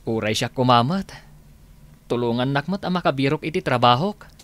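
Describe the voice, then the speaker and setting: A man's voice: medium pitch, expressive, moderate pace, fairly bright tone, natural voice. A man playing a humble, eager young job seeker in a radio drama. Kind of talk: radio drama acting in Ilocano.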